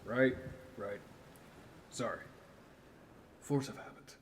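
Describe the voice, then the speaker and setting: A man's voice: mid-range voice, slow pace, a little hiss or hum, natural voice. An actor playing a wry, scruffy injured man with a teasing, informal manner in naturalistic audio drama.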